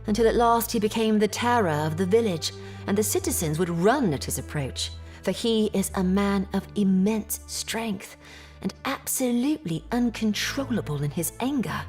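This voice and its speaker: Actress as Victorian gentlewoman, young, troubled, formal measured storytelling, audio drama, musical backing. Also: medium pitch, animated, faint music behind, natural voice. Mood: troubled, sighing. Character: distressed Victorian English gentlewoman recounting family misfortune. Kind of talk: period audio drama acting, narrative monologue.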